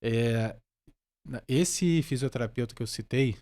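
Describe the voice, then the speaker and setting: A man's voice: mid-range voice, measured pace, a little mellow. A Brazilian man talking casually and reflectively as a podcast guest, feeling his way through his thoughts. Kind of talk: casual conversational interview.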